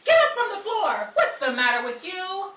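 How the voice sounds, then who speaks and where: A busy, excitable showgirl from a 1930s radio comedy, acted by a woman, in a high, swooping voice. She always has a retort ready. It is picked up from far off in an echoey, noisy space. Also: normal speed, lo-fi sound. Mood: flustered, excited. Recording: noisy, echoey live hall, far mic.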